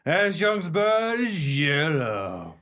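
A man's voice: fairly high pitch, huge pitch swings, very slow, a bit bright, heavily acted. A man playing a wisecracking, scrappy gangster sidekick in a 1930s pulp crime radio play, slangy and needling. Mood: mocking, goading.

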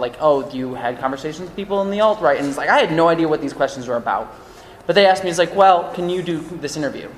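A man's voice: fairly high pitch, slight background noise. A man on a podcast panel, speaking earnestly in a rambling way with many fillers. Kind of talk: casual panel discussion. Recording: echoey live room, long reverb.

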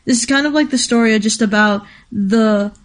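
A young woman co-hosting an anime show, thinking aloud in relaxed, casual conversation. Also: a little high, normal speed. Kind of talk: casual chat, discussing anime.

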